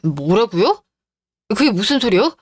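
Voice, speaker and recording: A woman's voice: medium pitch, big pitch swings, measured pace, breathy edge, dry recording. A woman voicing a weak, pleading middle-aged cheating husband in a radio drama, with a whining manner. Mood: bewildered. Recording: dry studio voice-over with faint music.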